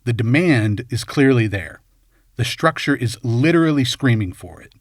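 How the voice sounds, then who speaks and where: A man's voice: medium pitch, lively intonation, moderate pace, no echo. Man narrating a dry-sounding, wry video essay. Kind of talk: scripted narration, light film commentary.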